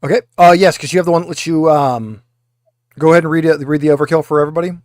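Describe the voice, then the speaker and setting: A man's voice: fairly high pitch, steady tone, very fast, no room sound, studio quality. A man running a tabletop roleplaying game as game master, explaining rules and guiding players step by step.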